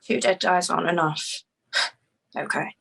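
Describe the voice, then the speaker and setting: A woman's voice: lowish voice, measured pace, vocal fry, a bit bright, slight background noise, full character voice. A woman playing an insistent, hard-edged informant in a crime drama table read.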